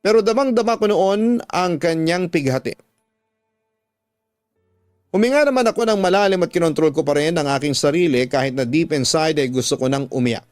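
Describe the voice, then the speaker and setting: A man's voice: fairly high pitch, animated, normal speed, darkish tone, no room sound. A man giving emotive, sorrowful scripted first-person narration for a radio drama. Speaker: radio drama narrator reading a letter sender's story. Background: faint background music.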